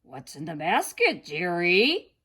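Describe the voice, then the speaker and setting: A woman's voice: on the low side, wildly swooping pitch, takes it slowly, a bit bright, husky, full character voice. A woman voicing a sly, greedy wolf villain from a children's storybook, wheedling and gloating. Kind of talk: children's storybook character reading.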